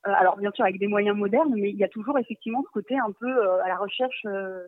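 A woman guest on a radio show, talking over the phone and often pausing on fillers and restarting. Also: medium pitch, no room sound. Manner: hesitant, many fillers and restarts. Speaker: magazine writer and guest. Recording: tinny phone line.

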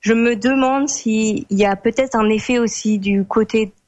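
Relaxed young French woman, hesitant. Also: on the high side, unhurried, muffled recording. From France. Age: young adult. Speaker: guest. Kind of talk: casual conversation.

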